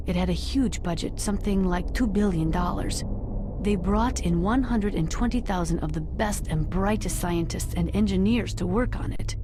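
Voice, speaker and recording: An actress playing a knowledgeable, sober military analyst in a video game, speaking in a measured, informative and solemn way. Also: female voice, on the low side, background noise, close to an everyday voice. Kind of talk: video game briefing dialogue.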